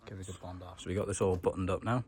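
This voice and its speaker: Low, flat-voiced man, casual DIY tutorial. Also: racing. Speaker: DIY car mechanic vlogger. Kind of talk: casual hands-on tutorial narration.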